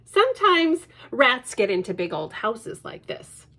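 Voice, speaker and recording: A woman's voice: fairly high pitch, very animated, unhurried, bright, forceful tone, pitched above the performer's own voice, exaggerated voice. A woman reading aloud, voicing a breezy, distracted father who brushes off his daughter. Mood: dismissive.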